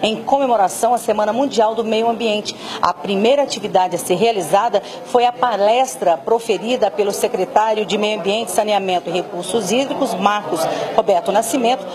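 A woman's voice: mid-range voice, a little fast, a crowd in the background. A woman in her fifties with a Brazilian accent, reading a formal news report into a handheld mic in an auditorium. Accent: Brazilian Portuguese. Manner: formal, announcing.

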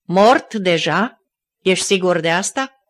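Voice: mid-range voice, lightly acted. A reader voicing Pilate as a sick, feverish Roman governor who moans weakly and then raves wildly, in an audiobook. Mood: wild, raving. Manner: weak and moaning, then wild shouting. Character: dying feverish male Roman governor, raving.

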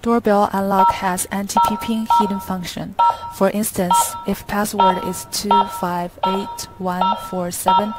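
A woman reading a scripted instructional product tutorial in a flat, even delivery. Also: medium pitch, unhurried.

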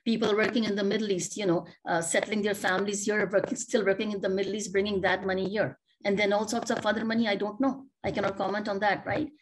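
A woman's voice: mid-range voice, little pitch movement, fast talker, few pauses, a little hiss or hum, low-quality recording. A middle-aged woman speaking earnestly as a panelist on a video call, asking many rhetorical questions and often restarting her sentences. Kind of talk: panel discussion remarks. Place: home office, indoors.

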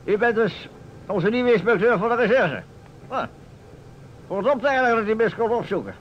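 An actor playing a pompous, blustering older small-town mayor, easily indignant, in a Dutch radio drama. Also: male voice, high voice, expressive, moderate pace. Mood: self-important. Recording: muffled vintage radio recording with noise.

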